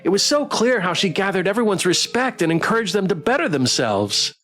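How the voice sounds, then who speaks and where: A man streaming a visual novel, reading it aloud dramatically and adding playful, expressive commentary. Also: high-pitched, brisk.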